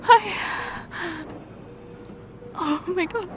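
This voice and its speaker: A woman voicing a distraught young fiancée in an audio roleplay, talking haltingly with stammers and repeated words in a shaky, tearful voice. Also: extremely high pitch, noisy background, full character voice. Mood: tearful relief. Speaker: ASMR roleplay performer.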